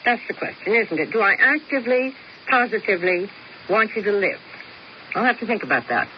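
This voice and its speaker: A woman's voice: mid-range voice, lively intonation, fairly quick, natural voice. An actress playing a cool, sardonic older woman who talks in a dry, ironic drawl, in a 1970s radio drama. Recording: dull old radio broadcast with hiss.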